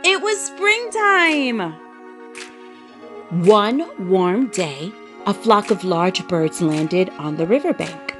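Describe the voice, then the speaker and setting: A woman reading a children's story aloud as an expressive, dramatic narrator with emphatic delivery. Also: mid-range voice, takes it slowly, soft background music.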